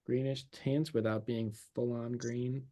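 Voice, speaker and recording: A man's voice: medium pitch, level tone, unhurried, mellow. A man teaching a watercolor demonstration, thinking aloud as he paints, his voice a little worn from losing it. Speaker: painting instructor.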